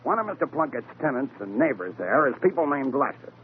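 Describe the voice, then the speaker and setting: An actor playing a blunt, slangy police sergeant in an old-time radio detective drama. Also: male voice, mid-range voice, very animated, a little fast, lightly acted. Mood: matter-of-fact. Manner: colloquial, blunt. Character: slangy, plain-spoken city police sergeant, loyal sidekick. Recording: tinny, noisy old radio broadcast.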